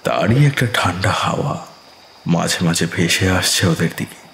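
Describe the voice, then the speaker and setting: A man narrating a scripted ghost story in a slow, suspenseful manner. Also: low voice, lively intonation, creaky, a bit bright, roomy sound, a little hiss or hum.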